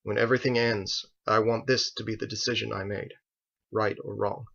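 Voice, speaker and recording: A man voicing a calm, resolute master in an audiobook story, speaking in a measured, firm, matter-of-fact way. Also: fairly low pitch, creaky, dull audio. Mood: grave, resolute. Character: calm, resolute male master, patient and grave.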